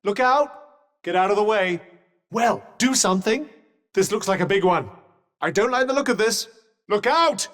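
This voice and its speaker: A British actor voicing a witty, haughty magical cuff in a video game, speaking in a dry, wordy, formal way. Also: male voice, high voice, animated, moderate pace, pauses often, fairly bright tone, long reverb tail. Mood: urgent, exasperated.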